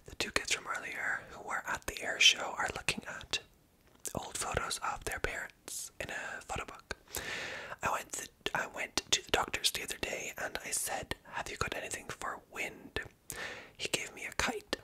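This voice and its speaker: A woman whispering softly as she reads jokes aloud and adds wry, self-mocking asides, stumbling over words now and then. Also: normal speed, low-quality recording. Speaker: ASMR creator. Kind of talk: whispered ASMR commentary.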